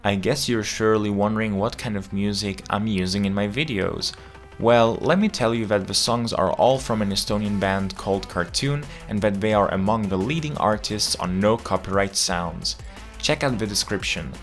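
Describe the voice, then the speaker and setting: Man, lowish voice, chatty travel vlog narration, faint music behind. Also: normal speed. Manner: chatty, explanatory, playful asides. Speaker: travel vlogger.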